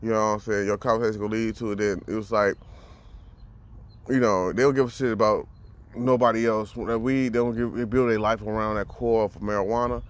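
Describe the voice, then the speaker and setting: A man in his thirties with a fairly low voice, speaking in a frank, loose personal monologue. He swears freely and stumbles over repeated words as he works out what he means. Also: steady tone, a little fast. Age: 30s. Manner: blunt, profane, repeats words while thinking. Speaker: vlogger.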